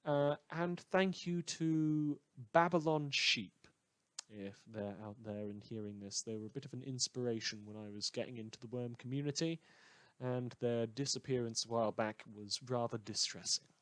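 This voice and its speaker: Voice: mid-range voice, normal speed, no echo. A podcast creator giving informal thanks and credits for a fan production, rambling a little with a hesitant, wry delivery.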